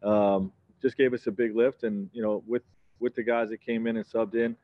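A man in his forties answering reporters after a game, steady and measured, his sentences stitched together with "you know" and soft ums. His voice sits fairly low, and the sound is dry and dull, like lo-fi broadcast audio. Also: no echo. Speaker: head coach. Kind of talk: postgame press conference answers. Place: press conference backdrop, indoors.

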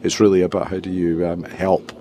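A man's voice: low voice, little pitch movement, fairly quick, mellow, slight background noise. A middle-aged man with a Scottish accent, explaining things conversationally as a guest in a casual podcast interview. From Scotland. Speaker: interview guest, tech company chief executive.

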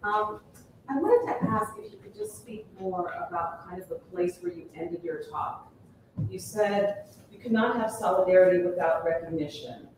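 A woman in the audience politely asking a question, with a soft voice. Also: fairly low pitch, sing-song, moderate pace. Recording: distant, echoey, noisy room.

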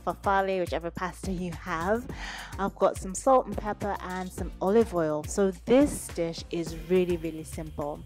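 Relaxed woman narrating a cooking video. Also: medium pitch. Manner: relaxed step-by-step instructions. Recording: voice-over with music underneath. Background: background music.

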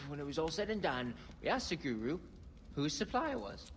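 A man's voice: fairly high pitch, big pitch swings, fairly quick, vocal fry. Video game stoner storyteller, British male: mock-grand, hesitant rambling, reverberant sound with faint noise. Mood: hazy storytelling. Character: hazy stoner man, pompous meandering comic storyteller. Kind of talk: video game cutscene acting.

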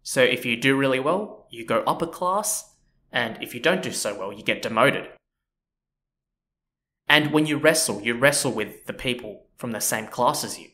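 A man's voice: mid-range voice, normal speed. Chatty man narrating a history podcast. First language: not Japanese. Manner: relaxed and chatty, with frequent "you know" and "so yeah". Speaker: podcast host.

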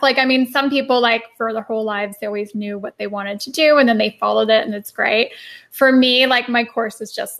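Woman, young adult, marketing guest, chatty, filler-heavy, slightly high voice. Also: gravelly, fairly bright tone. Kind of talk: casual interview answers.

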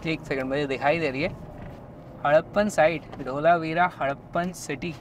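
A man's voice: on the high side, fairly even intonation, normal speed, lo-fi sound, slight background noise. A young man talking casually and playfully to the camera while he travels, filmed outdoors with a camera at arm's length. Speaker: travel vlogger. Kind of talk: casual travel vlog chat. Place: outdoors at a site and in a car.

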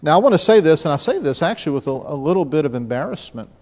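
A man's voice: fairly high pitch, very animated, quick pace, a little mellow. A man in his fifties from the New Orleans area, preaching a sermon from the pulpit and telling stories in a conversational way, often restarting and asking the congregation questions. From New Orleans area, Louisiana. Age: 50s. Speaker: pastor. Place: church sanctuary, large room. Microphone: pulpit mic, mid-distance camera. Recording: church hall with long reverb.

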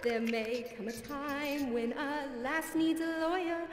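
A woman's voice: sits high, takes it slowly. A woman voicing a glamorous female cat cabaret diva, gushing with theatrical endearments between songs. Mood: sultry singing. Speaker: variety show performer. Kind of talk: cabaret song and stage patter. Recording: echoey hall with music behind.

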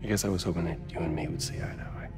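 A man's voice: deep voice, fairly even intonation, rapid-fire, vocal fry. An American man playing a smug, flippant tech executive villain in a game cutscene, with a glib, chummy and condescending drawl. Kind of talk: video game cutscene acting. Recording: music behind the voice.

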